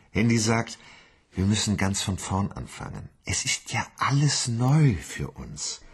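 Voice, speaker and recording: A man's voice: fairly low pitch, sing-song, slow pace, slightly rough, full character voice. An actor playing a naive, childlike grown man who earnestly repeats his girlfriend's sayings. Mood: dreamy. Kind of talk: radio play acting.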